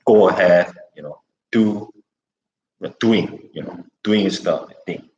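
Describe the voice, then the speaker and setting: A man whose first language is Korean, co-hosting a casual livestream in halting English full of fillers. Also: low-pitched, darkish tone, reverberant, highs cut off, a little hiss or hum. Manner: halting, many fillers, "you know". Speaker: co-host.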